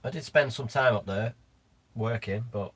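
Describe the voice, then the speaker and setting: A man's voice: medium pitch, normal speed, a bit bright, husky. West Lancashire male co-host, dry, reads the chat. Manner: reads out viewer comments, dry banter, swears casually. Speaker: live stream co-host. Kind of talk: casual live stream chat.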